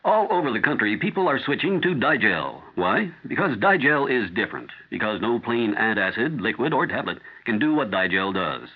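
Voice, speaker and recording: Male ad announcer, rough-edged voice, lively emphatic pitch, seventies radio commercial, tinny narrow sound. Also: normal speed, few pauses. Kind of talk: emphatic 1970s radio product advertisement.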